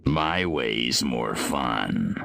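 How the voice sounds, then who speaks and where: Gravelly, trigger-happy alien invader. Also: male voice, extremely low pitch, lively intonation, drawn-out words, sharp tone, a little hiss or hum, full character voice. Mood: cocky, sardonic. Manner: cocky, sardonic drawl. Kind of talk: video game cutscene voice acting.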